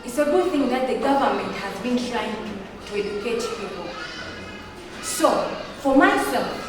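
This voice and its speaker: A woman's voice: on the high side, animated, a little slow, background noise. A teenage girl from Tanzania, a Swahili speaker, giving an earnest, argumentative debate speech full of rhetorical questions. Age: teens. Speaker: student debater. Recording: echoey hall, picked up from far off.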